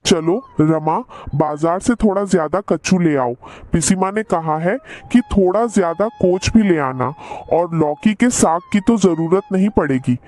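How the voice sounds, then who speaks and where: A man's voice: high voice, measured pace, few pauses, a little mellow, no echo. A man playing an enthusiastic, appreciative family man in a cartoon story. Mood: practical. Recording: music under the voice.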